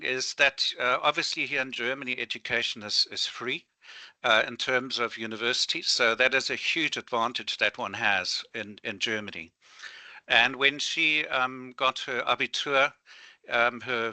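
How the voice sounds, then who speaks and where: A man from South Africa joins a video call to put a question to a professor. His voice is bright and forceful and sounds dry and close. He rambles, with plenty of ums and uhs. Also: medium pitch, dry recording. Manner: rambling with many fillers. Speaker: alumnus asking a question. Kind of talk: casual remarks on a video call. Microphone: home computer setup on a video call.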